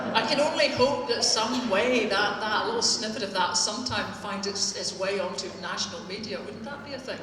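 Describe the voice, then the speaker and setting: A woman with a Northern Irish accent giving a witty, pointed talk to a live audience. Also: medium pitch. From Northern Ireland. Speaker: speaker at a live political event. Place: theatre hall. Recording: echoey hall, speaker far from mic.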